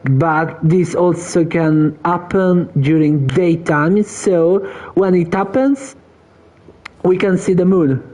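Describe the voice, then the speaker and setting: Italian-accented male streamer, native Italian; slow, mellow delivery, casual chat to viewers. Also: on the high side, steady tone, poor audio. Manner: explains slowly, answering viewers, searching for words. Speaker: game streamer.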